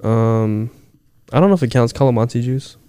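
A young man chatting on a podcast with a mellow, relaxed tone of voice. He jokes around, swears freely and laughs often. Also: mid-range voice, normal speed, smooth, no room sound. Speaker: podcast co-host. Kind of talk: casual chat.

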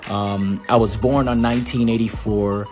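A man in his thirties, from Puerto Rico, hosting a solo podcast and telling his life story in an earnest, measured way with frequent "uh" and "um" fillers. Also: lowish voice, fairly even intonation, a little mellow, faint music behind. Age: 30s. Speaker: podcast host.

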